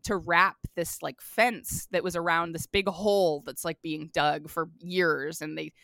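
A woman's voice: sits low, measured pace, creaky, no room sound. Chatty woman in her thirties, dry sound. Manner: chatty, animated, frequent "like" fillers. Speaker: artist guest. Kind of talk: casual interview storytelling.

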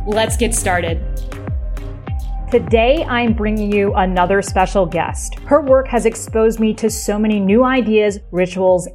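A woman's voice: a little high, darkish tone. Encouraging female podcast host. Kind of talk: podcast intro and interviewing.

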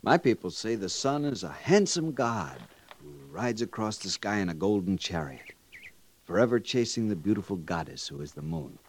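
An actor playing an earnest young cavalry lieutenant in a radio drama, with a polite, gentle, formal manner. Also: male voice, on the low side, very animated, normal speed. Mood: wistful, tender. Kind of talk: 1950s radio drama acting. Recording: hissy vintage radio recording.

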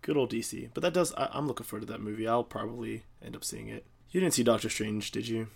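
A man's voice: medium pitch, quick pace, gravelly, husky. Wry man co-hosting a movie chat. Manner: wry, sarcastic joking. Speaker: podcast co-host. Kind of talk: casual chat about movies.

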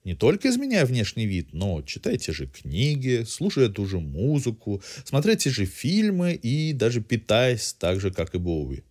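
A man presents a cultural review as a podcast host. His voice sits at a middling pitch and moves in very animated, varied intonation. The phrasing is erudite and literary, following a loosely scripted narration. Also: normal speed, few pauses.

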